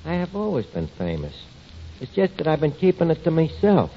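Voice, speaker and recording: Noisy, muffled old radio comedy recording of a scheming tavern manager. His voice sits high and swings up and down as he talks big in mangled grammar, glib and full of himself. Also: wildly swooping pitch, a little fast. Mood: sardonic. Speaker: star of a radio situation comedy. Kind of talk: 1940s radio comedy acting.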